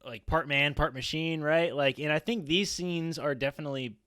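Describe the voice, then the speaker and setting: A man's voice: a little high, moderate pace, smooth, no room sound, clean studio sound. A man in his thirties chatting casually and emphatically as a podcast panelist, often checking in with "right?". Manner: animated, emphatic, frequently adds "right?". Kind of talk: casual roundtable chat. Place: small home room on a group video call. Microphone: close podcast mic.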